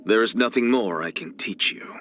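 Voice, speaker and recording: A man's voice: fairly low pitch, normal speed, bright, forceful tone, slight background noise. A man playing an arrogant, eccentric scholar and teacher in a video game, with a haughty, theatrical, lecturing manner. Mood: confident, solemn.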